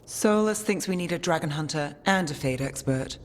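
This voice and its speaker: An actor plays a concerned companion in a fantasy game. The voice is medium in pitch and matter-of-fact, ringing in a reverberant hall. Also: expressive, moderate pace, natural voice. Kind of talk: naturalistic video game acting.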